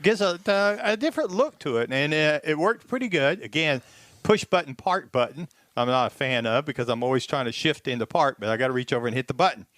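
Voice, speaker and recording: A man's voice: on the high side, expressive, quick pace, fairly bright tone, no echo, studio quality. A man casually reviewing a car on a radio show, listing features with fillers.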